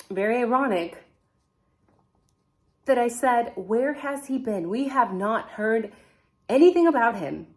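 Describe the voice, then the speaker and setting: A woman's voice: fairly high pitch, a little mellow. A woman whose first language is not English, hosting a casual true crime vlog and chatting to viewers, wondering aloud and putting questions to them. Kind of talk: casual to-camera chat.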